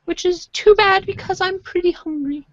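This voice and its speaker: A woman's voice: very high-pitched, moderate pace, a bit bright, no room sound. A woman playing an innocent, cryptic talking cat spirit in amateur readers' theater, in a simple singsong manner with meows and purrs. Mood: childlike, wistful. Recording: muffled lo-fi audio.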